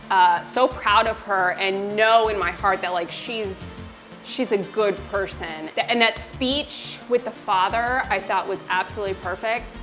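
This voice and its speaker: A woman's voice: mid-range voice, a bit bright. A middle-aged woman co-hosting a movie reaction chat, earnest and reflective, with many fillers. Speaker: co-host reviewing a movie.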